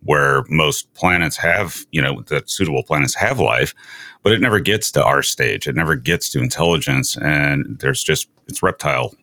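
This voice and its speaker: A man's voice: rumbling low, lively intonation, normal speed, gravelly, sharp tone. A man hosting a science show, speculating in casual conversation.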